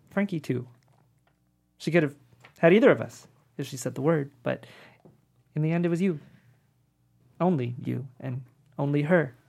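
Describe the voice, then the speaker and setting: A man's voice: on the high side, creaky, a little mellow. A man playing a wry, tender dying man. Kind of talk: dramatic table read of a screenplay.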